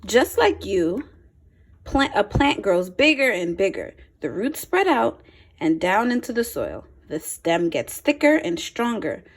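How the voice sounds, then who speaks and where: Lively, slightly husky woman reading to children. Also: mid-range voice. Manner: simple explanatory asides to young listeners; expressive, deliberate phrasing for children. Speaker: teacher reading aloud to young children. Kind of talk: children's picture book read-aloud with teaching asides.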